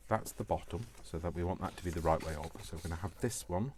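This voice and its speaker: Very deep-voiced man chatting while crafting. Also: fast talker, a little mellow, a little hiss or hum. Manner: playful muttering to himself in short repeated phrases. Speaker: craft tutorial host. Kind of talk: casual chatty crafting demonstration, thinking aloud.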